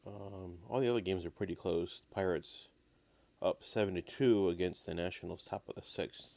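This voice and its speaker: Low deep guy doing chill baseball stream commentary, kinda raspy and froggy like he's getting over being sick. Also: fairly even intonation, normal speed, darkish tone, no echo. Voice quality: slightly raspy. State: getting over an illness, coughing. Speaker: live stream host. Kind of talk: casual live baseball commentary.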